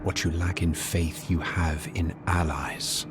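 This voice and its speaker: An actor playing a steady, stoic wandering hero in a fantasy game, calm and deliberate in his delivery. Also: sits low. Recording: loud music under the voice.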